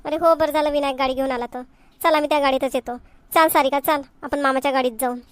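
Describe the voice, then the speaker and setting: A woman's voice: high voice, fairly even intonation, fast, no echo, close to an everyday voice. A woman voicing a dutiful village mother in a cartoon family comedy, with a rural Maharashtrian accent. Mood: chatty. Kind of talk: homemade cartoon comedy dialogue.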